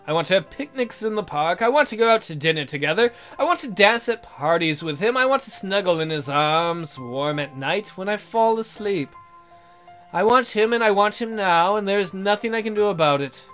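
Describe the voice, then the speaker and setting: A man's voice: sits high, lively intonation, normal speed, a bit bright, faint music behind. A man voicing a lovesick, miserable young woman who narrates her romance melodramatically in a visual novel. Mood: pining, lovesick. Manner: melodramatic, pining.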